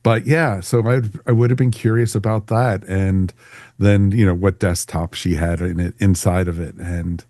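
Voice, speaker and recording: A man's voice: sits low, steady tone, moderate pace, warm tone, no room sound. A man co-hosting a podcast, chatting casually and enthusiastically in an informal fan review. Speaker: podcast co-host.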